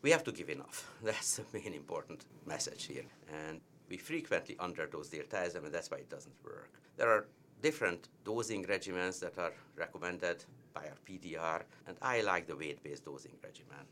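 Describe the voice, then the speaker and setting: A man's voice: deep voice, lively intonation, brisk, pushed and bright, a little hiss or hum. A man with a heavy Hungarian accent, a cardiologist explaining treatment with emphatic repetition of key points. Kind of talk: medical teaching discussion.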